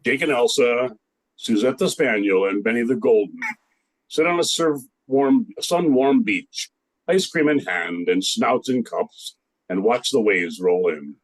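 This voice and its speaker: A man's voice: medium pitch, lively intonation, a little slow, slight background noise, poor audio. An older man narrating a scripted radio play as its storyteller.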